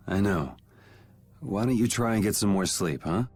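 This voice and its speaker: An actor playing a cool, guarded young adventurer in a video game, talking in a dry, laconic, casual way. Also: male voice, on the low side, lively intonation, unhurried, darkish tone, slightly rough. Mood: gently reassuring. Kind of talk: voice acting in an English video game cutscene.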